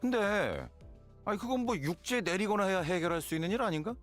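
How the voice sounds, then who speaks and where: An actor playing a practical young man who speaks in a brisk, matter-of-fact way. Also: sits high, very animated, fairly bright tone, soft background music.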